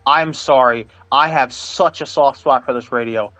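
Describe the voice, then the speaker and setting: A man's voice: mid-range voice, normal speed, a bit bright, dry recording, soft background music. An American man chatting casually as an enthusiast stream co-host, earnest and enthusiastic, often repeating his phrases for emphasis. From Ohio, United States. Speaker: stream co-host, weather radio collector. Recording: dull, lo-fi voice chat audio.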